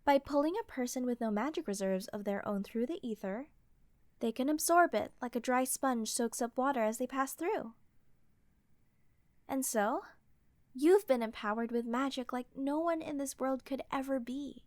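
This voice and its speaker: A woman's voice: sits high, normal speed, dry recording, lightly acted. A woman playing an earnest, easily scandalized queen with a formal, ceremonious manner in a fantasy audio roleplay. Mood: explaining. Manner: ceremonious, proper, reverent.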